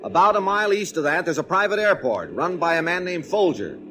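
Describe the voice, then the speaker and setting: A smooth, persuasive middle-aged insurance adjuster in a 1940s radio drama. His delivery rises and falls a lot, and a faint hiss sits under the voice. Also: high-pitched, expressive, brisk, sharp tone. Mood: instructive. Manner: easygoing and persuasive, patient explaining.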